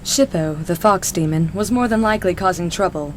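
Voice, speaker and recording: A woman's voice: lowish voice, a little fast, slight background noise. A woman narrator reading a scripted story as part of an audio drama.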